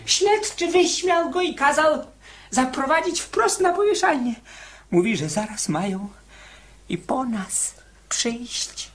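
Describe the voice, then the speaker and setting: An actor in a radio play voicing a timid, frightened condemned convict, hesitant and anxiously repeating words. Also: male voice, very high-pitched, sing-song, slow, fairly bright tone, full character voice. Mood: hushed dread.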